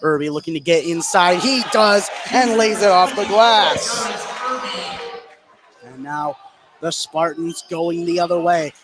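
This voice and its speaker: A man doing excitable live play-by-play of high school basketball in short running phrases. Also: slow pace, background noise. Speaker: play-by-play sports commentator.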